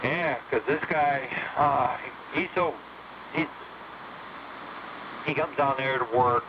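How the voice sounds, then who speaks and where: Clear static surrounds an American man talking on CB radio, his sound dulled and lo-fi. He chats casually and plainly, halting and repeating himself as he goes. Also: mid-range voice. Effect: CB single-sideband radio. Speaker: amateur CB radio operator. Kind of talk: casual local-news chat.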